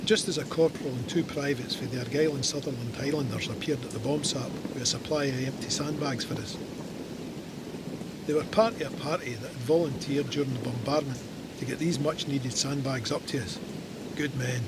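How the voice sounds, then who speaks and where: A man's voice: steady tone, a little fast, natural voice. An actor playing a Scottish soldier narrating his war memoir in scripted audio drama style. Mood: measured, grave. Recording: loud storm and rumble effects behind.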